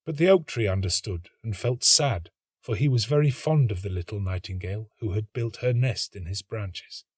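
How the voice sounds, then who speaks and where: A man reading a literary story aloud as a narrator, with measured, expressive delivery. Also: fairly low pitch, husky, no echo, studio quality. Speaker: story reader, actor.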